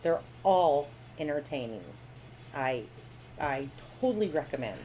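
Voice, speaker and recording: A woman's voice: low-pitched, lively intonation, unhurried, crowd noise, rough recording. A woman co-hosting a live stream, giving blunt, opinionated commentary in a casual way. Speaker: co-host.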